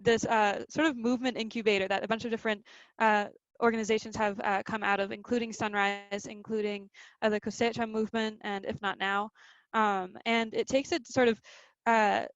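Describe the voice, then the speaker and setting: Woman, 20, youth organizer on a video call; gravelly, casual presenter, dull dry audio. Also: medium pitch, dry recording. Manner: filler-heavy, loose explanatory talk.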